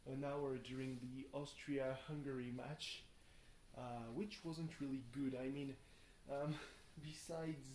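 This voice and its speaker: A tired young man rambles casually through a video diary, halting and thinking aloud, often questioning himself. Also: medium pitch, fairly even intonation, a little mellow. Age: young adult. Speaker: video blogger. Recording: roomy echo, faint background noise.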